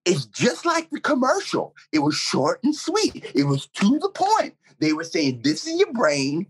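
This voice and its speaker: A man puts on an eccentric werewolf radio DJ for a comedy interview. The voice is husky and swings wildly up and down. He rambles earnestly and theatrically. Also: very high-pitched, huge pitch swings, measured pace, exaggerated voice. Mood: proud, rambling. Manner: theatrical, rambling, swooping emphasis.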